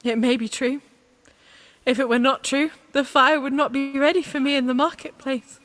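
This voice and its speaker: Woman playing a high-voiced defiant young warrior maid. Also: steady tone, moderate pace, fairly bright tone, long reverb tail, soft background music. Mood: resigned. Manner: earnest, impassioned, plain-spoken. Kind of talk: radio play acting. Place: actor's home, live remote recording.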